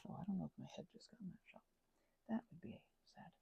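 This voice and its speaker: A woman's voice: fairly low pitch, animated, fairly quick, vocal fry, dark tone. A woman chatting casually in an ASMR craft ramble, whimsically talking to herself and often drifting into whispers.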